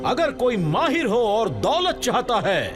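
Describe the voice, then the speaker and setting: Here is a grandiose cartoon expedition leader, luring treasure hunters. His high voice swings dramatically up and down in slow, showy phrases, over music. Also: very animated, exaggerated voice. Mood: grand, dramatic. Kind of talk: theatrical cartoon dub pitch. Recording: music behind the voice.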